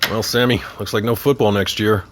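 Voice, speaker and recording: A middle-aged man playing a gruff, wry father with authority, questioning pointedly in an audio drama. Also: on the low side, fairly even intonation, a little fast, a little hiss or hum, lightly acted. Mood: wry, concerned. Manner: wry, probing. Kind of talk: naturalistic audio drama acting.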